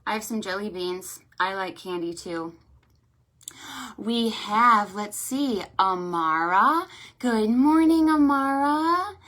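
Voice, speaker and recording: A woman hosting a storytime for young children, with an animated, sing-song, encouraging way of talking, full of check-in questions and affectionate thanks. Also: mid-range voice, slow. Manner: affectionate, effusive greetings and thanks. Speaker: children's library storytime host.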